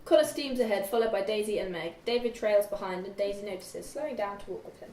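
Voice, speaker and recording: A young woman narrates scene directions from a script in a mid-pitched voice. She sits away from the mic in an echoey room with faint noise. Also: fast, a little mellow, recorded from a distance. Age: young adult. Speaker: stage-direction reader.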